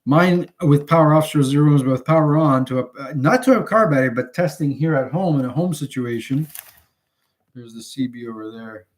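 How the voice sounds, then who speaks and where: A man in his fifties from Canada, giving a casual, unscripted product demonstration in a halting, rambling way. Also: mid-range voice. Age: 50s. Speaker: hobbyist video host. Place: indoors at home. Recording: home recording with faint background noise.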